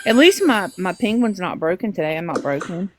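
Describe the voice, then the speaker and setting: A woman's voice: lowish voice, very animated, brisk, noisy background. A middle-aged woman hosting a casual livestream, chatting and talking to her viewers as she goes. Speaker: livestream host.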